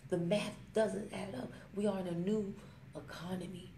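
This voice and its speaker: A woman giving a motivational vlog talk on her smartphone, emphatic and preachy, slowing down to stress her points and fond of little rhymes. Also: medium pitch, steady tone, mellow. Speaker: network marketing vlogger. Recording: echoey room with faint ambient noise.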